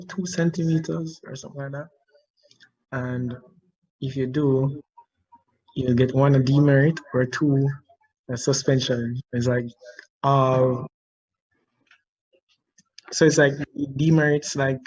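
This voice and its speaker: A man's voice: medium pitch, darkish tone, breathy edge, dull audio. Jamaican man telling a story on a call. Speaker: discussion participant. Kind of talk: casual personal storytelling.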